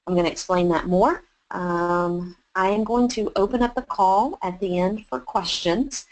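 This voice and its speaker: A woman's voice: medium pitch, measured pace, rough recording. A woman with a Texan accent, a chef hosting a webinar and talking her listeners through it in a chatty, encouraging way with plenty of fillers. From Texas. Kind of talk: webinar presentation.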